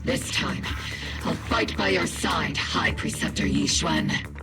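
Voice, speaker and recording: Game role: commanding military leader, higher-pitched, hugely varied intonation, loud music underneath. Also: male voice, wildly swooping pitch, slow pace, creaky, exaggerated voice. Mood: grave, determined. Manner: commanding, dramatic. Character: resolute military squad commander rallying troops. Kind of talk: scripted video game acting.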